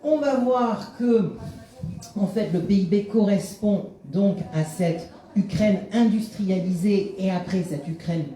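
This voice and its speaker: A man's voice: sits high, dark tone. Hesitant man lecturing in an echoey room. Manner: measured, frequent hesitations. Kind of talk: public lecture. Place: conference room.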